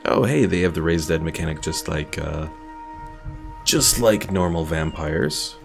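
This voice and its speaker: Low, gravelly male gamer. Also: measured pace. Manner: thinking aloud. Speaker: streamer playing a strategy game. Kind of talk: casual gameplay commentary. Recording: game music behind voice.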